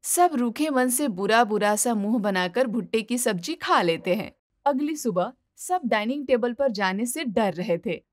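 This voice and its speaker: A woman's voice: on the high side, animated, unhurried, no room sound, studio quality. A woman reading scripted narration for a storybook-style moral tale.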